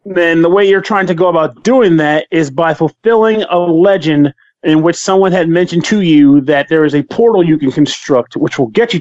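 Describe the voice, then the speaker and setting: High-voiced man ranting on a podcast. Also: dry recording, highs cut off. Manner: rambling, swears freely, animated rants. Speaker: podcast co-host. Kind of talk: casual podcast chat.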